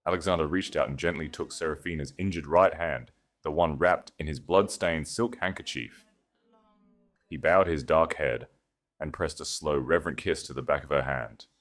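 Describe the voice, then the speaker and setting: Deep-voiced man narrating a dramatic story. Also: moderate pace, a bit bright.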